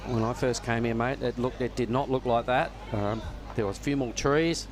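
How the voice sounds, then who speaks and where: A man giving relaxed, knowledgeable live surf contest commentary from a judging tower. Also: medium pitch, moderate pace, over music. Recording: noisy outdoor ambience with traffic.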